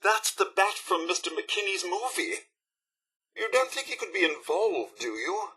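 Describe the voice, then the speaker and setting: Prim, fussy robot butler. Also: male voice, high-pitched, sing-song, a little slow, sharp tone, husky, exaggerated voice. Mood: alarmed, worried. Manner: prim, formal, mannered butler diction. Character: polite, fussy, anxious male robot butler. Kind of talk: scripted video game character dialogue.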